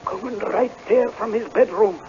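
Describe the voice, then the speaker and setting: An actor playing a blunt, loyal, fearful old Scottish castle servant in a radio drama. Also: male voice, sits high, moderate pace, darkish tone, lightly acted. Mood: terrified awe. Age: older. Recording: dull, noisy old radio recording.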